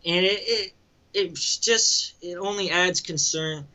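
A man's voice: sits high, slow pace, sharp tone. A man co-hosting a sports podcast in easygoing casual chat. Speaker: podcast co-host. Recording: dull lo-fi mic.

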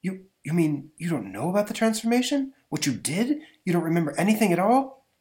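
A man voicing a panicked middle-aged professor in a comic book read-aloud, shrill and shouting. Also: very animated, a little fast. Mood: alarmed.

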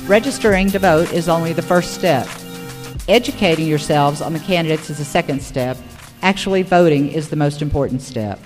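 A woman's voice: low voice, brisk, faint music behind. A woman moderating a public forum, reading prepared material aloud to the room. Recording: reverberant hall recording.

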